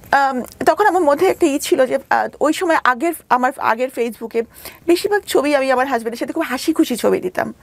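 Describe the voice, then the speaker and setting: A woman with a Bangladeshi accent speaks in a high voice edged with creak. She recounts her own life candidly as an interview guest, often pausing to restate and explain what she means. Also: no room sound. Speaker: doctor. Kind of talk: candid personal storytelling in a radio interview. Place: radio studio.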